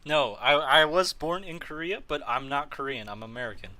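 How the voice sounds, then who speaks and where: A man's voice: on the high side, moderate pace, pushed and bright. A young man hosting a live game stream, chatting casually and answering chat aloud, often laughing.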